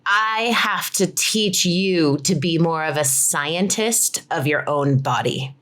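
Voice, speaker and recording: A woman's voice: sits low, slow pace, fairly bright tone. A woman from Colorado, a physical therapist, explaining her work enthusiastically in a conversational interview over a video call. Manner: enthusiastic, explanatory, uses "like" often.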